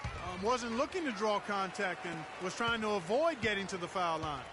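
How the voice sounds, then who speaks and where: A man's voice: high-pitched, lively intonation, normal speed, over music. An American man giving conversational analysis as a commentator on a basketball video game broadcast. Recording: very noisy game ambience behind. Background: loud game ambience, bouncing basketball.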